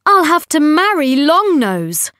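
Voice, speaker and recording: A woman's voice: high voice, big pitch swings, slow, pushed and bright, no room sound, clean studio sound, voice pushed up, heavily acted. A woman voicing an anguished young prince in a storybook, groaning and sorrowful. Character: anguished young royal prince under a troll's spell.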